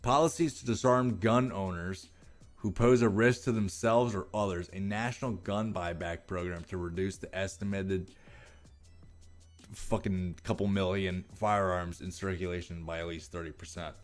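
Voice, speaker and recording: Low-voiced American man, profane and exasperated. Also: fairly even intonation, normal speed, faint music behind. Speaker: political commentary vlogger. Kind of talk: reading aloud with casual, ranting commentary.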